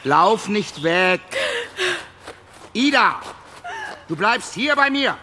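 A man's voice: sits high, very animated, drawn-out words, sharp tone, a little hiss or hum, full character voice. An actor in a German radio drama playing a lecherous married man who coaxes and presses a girl. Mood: desperate, urgent.

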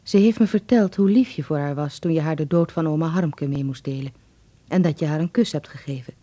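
A woman's voice: low-pitched, fairly quick, mellow. An actress playing an affectionate, watchful mother reading out her letter in a radio drama. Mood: tender, chatty.

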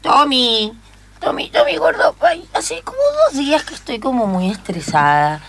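A woman's voice: on the high side, huge pitch swings, normal speed. A woman playing an affected, self-absorbed, snappish tipsy mother in improvised radio comedy, with an Argentine accent. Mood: affected, impatient. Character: flighty, selfish, tipsy well-off mother. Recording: faint background noise.

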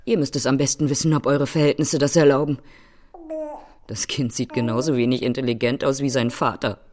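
An actress playing a bitter, domineering older mother-in-law in a radio drama, sneering and theatrical, with a husky voice. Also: very deep voice, fairly even intonation, brisk, crowd noise. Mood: cold scorn. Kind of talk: German radio drama acting.

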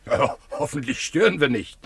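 An actor playing a kindly, concerned gentleman visitor in a German radio play, husky, polite and solicitous. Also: medium pitch, animated, moderate pace, exaggerated voice. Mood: friendly.

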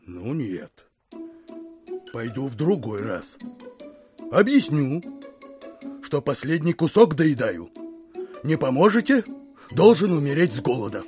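An actor playing a hungry, desperate and stubborn war veteran captain in an audio play, pleading and insistent. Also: male voice, mid-range voice, sing-song, slow, pauses often, music in the background. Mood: grim resolve.

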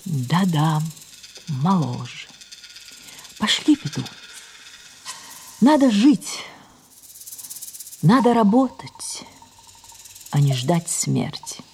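A woman's voice: lowish voice, sing-song, extremely slow, long pauses, slight background noise, exaggerated voice. An older woman playing a self-absorbed, imperious and wistful ageing stage actress in an audio play, with theatrical delivery. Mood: insistent, resolute. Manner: theatrical, declamatory, dramatic hushed passages. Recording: music behind the voice.

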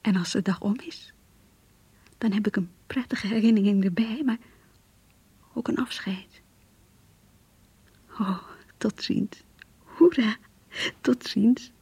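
A woman playing an earnest, lovestruck young girl in a radio play, emotional and yearning, with a breathy, husky voice. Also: medium pitch, slow pace, pauses often, warm tone, no room sound, heavily acted. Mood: happy yearning. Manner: emotional, yearning, polite and shy. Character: lovestruck, earnest young schoolgirl graduate reading her diary. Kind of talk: Dutch radio play acting, diary narration and dialogue.

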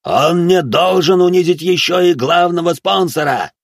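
A frantic, domineering director played by a male narrator barks orders loudly. His voice is pushed up high and urgent, in dramatic audiobook style. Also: normal speed, vocal fry. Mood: panicked fury. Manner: shouting, commanding.

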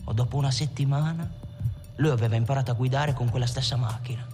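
A male actor plays a streetwise gangster reminiscing in a colloquial, conversational way. His mid-pitched voice rings in a long hall reverb, with music clearly behind it. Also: fast, lightly acted. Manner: colloquial, storytelling with acted-out dialogue. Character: Italian gangster recalling his crime companion, streetwise, colloquial. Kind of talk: dramatic film monologue, conversational reminiscence.

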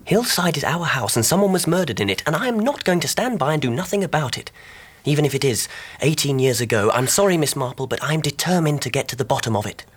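A keen young newlywed man, set on solving a mystery in a radio drama. His pitch swings widely and his voice has a husky edge. He comes across as brisk, animated and decisive. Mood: determined. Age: young adult. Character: eager, determined, newly married young male amateur sleuth.

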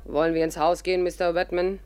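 Actress doing an imperious, low-voiced old grande dame. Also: female voice, little pitch movement, fast, a little mellow, clean tone, dry recording, lightly acted. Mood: imperious, scolding. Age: older. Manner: imperious, scolding, declamatory. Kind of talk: 1950s German radio drama acting.

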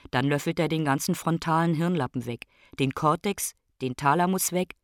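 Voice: medium pitch, dry recording, clean studio sound, natural voice. An actor in a radio drama playing a brain specialist who observes his own decline in a musing, matter-of-fact way.

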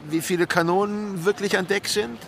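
An actor playing a languid, opium-dazed pirate captain in an audio drama, drawling in a teasing, careless way. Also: male voice, high voice, fairly even intonation, moderate pace, creaky, a bit bright, a little hiss or hum. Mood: dazed, vague.

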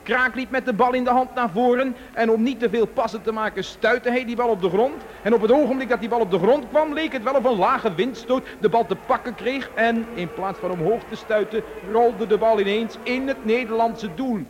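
An actor playing an excitable radio football commentator, reporting dramatically in an old-time broadcast style. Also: male voice, very high-pitched, fairly quick, few pauses, lo-fi sound, a little hiss or hum. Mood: dismayed.

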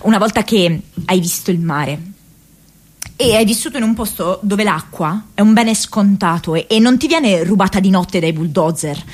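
A woman's voice: mid-range voice, normal speed, husky, natural voice. A woman playing an earnest, troubled young American activist in a radio theatre diary monologue, hesitant and searching for words. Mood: indignant, pained.

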